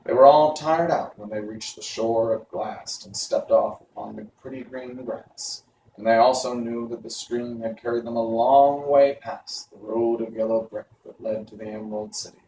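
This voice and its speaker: A man's voice: mid-range voice, fairly even intonation, moderate pace, mellow. A man narrating a storybook, reading aloud. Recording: roomy, distant mic, faint noise.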